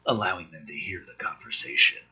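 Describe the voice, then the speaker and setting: A man's voice: low voice, fairly quick, sharp tone, slightly rough. A man sarcastically reading through a film script and commenting on it in a profane, mocking, theatrical way. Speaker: host of a script review show.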